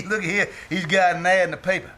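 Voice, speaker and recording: Man playing a brash, high-pitched, jovial bandleader husband. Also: pushed and bright. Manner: chuckling, breezy. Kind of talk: 1940s radio sitcom acting. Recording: slightly noisy, distant live stage.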